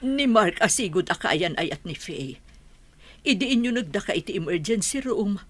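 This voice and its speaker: A woman's voice: medium pitch, lively intonation, moderate pace, no echo, close to an everyday voice. A woman playing an anxious, hesitant married mother in a comedy radio drama. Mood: worried.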